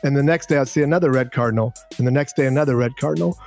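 A man's voice: medium pitch, racing, gravelly, darkish tone, faint music behind. A man co-hosting a podcast, telling stories casually in a good-humored, self-deprecating way. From Arlington, United States. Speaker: podcast co-host. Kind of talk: casual chat, animated storytelling.

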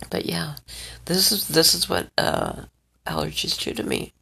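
Slow, gravelly, stuffed-up vlogger. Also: medium pitch, wildly swooping pitch, sharp tone, in a whisper. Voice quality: scratchy. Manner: rambling, checks in with the listener again and again. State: stuffed up, congested. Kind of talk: rambling personal vlog.

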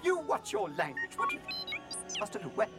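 A man's voice: very high voice, wildly swooping pitch, moderate pace, heavily acted. A man playing a fussy, anxious and polite protocol droid in a radio drama, speaking in a prim, formal, fretful manner. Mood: flustered. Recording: loud music behind the voice.

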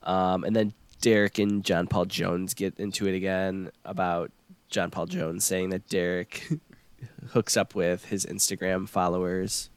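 A young man chatting on a podcast while sick, sounding deeper than usual and filling his talk with "like" and "um". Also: level tone, a little slow, smooth, no room sound. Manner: chatty, lots of "like" and "um". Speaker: podcast host. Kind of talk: casual chat recapping a reality show.

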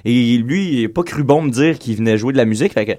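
A man's voice: mid-range voice, expressive, fast talker, a little mellow. A man with a Quebec French accent chatting casually as a radio co-host, explaining things at length in roundabout steps. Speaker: radio co-host and event organiser.

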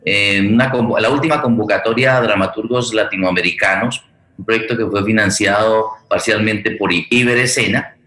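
Male Colombian host, very low register, halting conversational delivery, roomy echo. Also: steady tone, bright, forceful tone. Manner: halting, searching for words. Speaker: host, theatre director and playwright. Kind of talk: conversational, rambling forum hosting.

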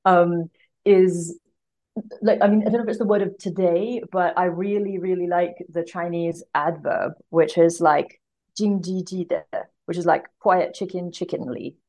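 A woman speaking casually as a podcast guest in an interview, thoughtful and halting as she works out her phrasing. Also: fairly low pitch, fairly even intonation, mellow. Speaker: podcast guest, literary translator.

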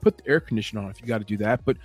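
A man hosting a late-night MMA show, talking in a calm, rambling, laid-back way. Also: fairly low pitch, very fast, slightly rough, faint music behind. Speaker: MMA podcast host. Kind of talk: late-night solo show, casual chat.

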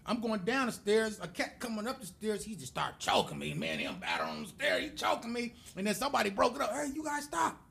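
A middle-aged American man tells his stories in a high voice with a gravelly fry running under it. He is animated and jumps into other people's voices as he goes. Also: quick pace, few pauses. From United States. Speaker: podcast guest. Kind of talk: animated storytelling.

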